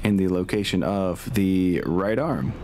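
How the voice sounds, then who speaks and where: Low-voiced male game master, wry and mock-formal, narrating dice rolls over prominent music. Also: measured pace, a little mellow. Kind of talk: narrating a tabletop war game on stream. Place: indoors at home, online group call. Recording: music playing behind the voice.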